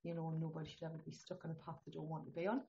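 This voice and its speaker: A middle-aged to older woman with a northeast English accent, a holistic healthcare guest talking in a chatty, animated way and laughing often. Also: low voice, very fast, a little hiss or hum. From northeast England. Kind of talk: conversational interview. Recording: dull lo-fi sound.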